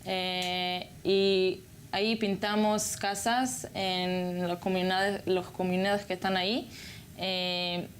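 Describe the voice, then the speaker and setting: A woman's voice: on the low side, level tone, slow pace. Hesitant young woman from Israel, faint noise. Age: young adult. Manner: hesitant, searching for words in a second language. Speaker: guest, former volunteer. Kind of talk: interview answers.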